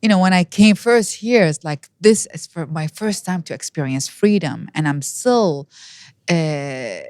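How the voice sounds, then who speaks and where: A woman in her fifties from Iraq with an Iraqi accent, telling personal stories as an interview guest in an animated way. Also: sits low, unhurried, no echo, studio quality. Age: 50s. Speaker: podcast guest.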